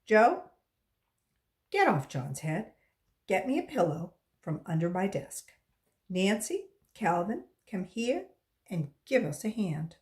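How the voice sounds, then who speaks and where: Storybook schoolteacher, female, firm and insistent, expressive pitch, measured pace acted by a woman reader. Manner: brisk, insistent.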